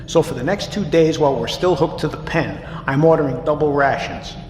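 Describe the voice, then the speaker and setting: An actor playing a steady, grave ship's captain, addressing his crew in a measured, authoritative way in an audio drama. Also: on the high side, reverberant, big-room sound, a little hiss or hum, lightly acted. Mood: firm, resolute. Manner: measured, authoritative, plain-spoken. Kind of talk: scripted audio drama, formal announcement.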